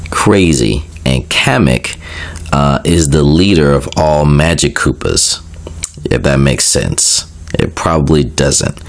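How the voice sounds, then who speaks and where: A young man getting over a cold, sounding a little nasal, casually explaining video game lore with lots of fillers, asides and self-corrections. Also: a bass voice. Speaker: video creator.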